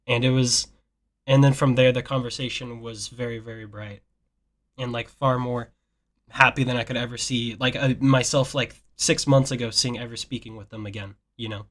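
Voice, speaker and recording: A young man in a casual chat with family, speaking earnestly and at length. His voice sits at an ordinary middle pitch, and his thoughts wander with plenty of fillers as he works through them. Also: fairly even intonation, moderate pace, fairly bright tone. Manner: earnest, rambling, many fillers. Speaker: nephew.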